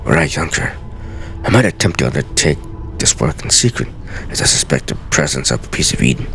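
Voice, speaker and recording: A man's voice: expressive, a little fast, fairly bright tone, a little hiss or hum, heavily acted. A man playing an aged master assassin, grave, weary and theatrical, reading out solemn journal entries from a video game. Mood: grave, concerned. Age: older. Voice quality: very deep, gravelly.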